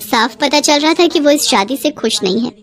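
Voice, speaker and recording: Female story narrator, high voice, very animated intonation, dramatic scripted read. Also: normal speed.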